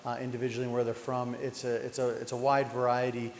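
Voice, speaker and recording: A man at a city council meeting speaks informally, stumbling over and restarting his sentences in a friendly tone. His voice sits at an ordinary pitch. It rings through a large, echoey chamber with a long tail of reverb and a faint background hum. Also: flat delivery. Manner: halting, many restarts, friendly. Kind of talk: informal remarks at a public meeting.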